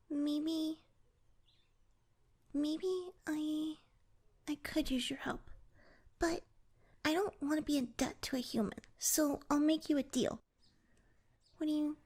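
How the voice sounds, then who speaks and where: Woman voicing a tiny, very high-pitched flustered pixie. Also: a bit bright, full character voice. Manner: stammering, flustered, indignant. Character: small clumsy pixie, flustered, proud and wary of humans. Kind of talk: ASMR fantasy audio roleplay.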